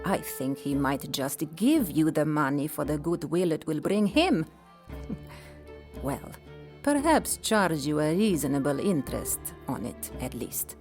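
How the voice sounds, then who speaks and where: Low-voiced, gracious, playful empress. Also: female voice, animated, unhurried, darkish tone. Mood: amused, helpful. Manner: regal, wry, formal phrasing. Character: gracious, playful empress, wife of a Persian emperor. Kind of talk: audio drama acting. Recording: music under the voice.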